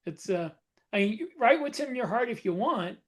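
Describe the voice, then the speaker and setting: A middle-aged man co-hosting a writing podcast, talking in a relaxed, rambling way. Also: extremely high pitch, normal speed. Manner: relaxed, rambling, self-correcting. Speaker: podcast co-host, author. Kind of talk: casual panel chat.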